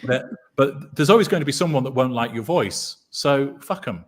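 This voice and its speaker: A man with a deep baritone voice speaking in a measured, deliberate way with dry humor, as a guest on a video call. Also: expressive, breathy edge. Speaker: guest commentator. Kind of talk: measured conversational commentary.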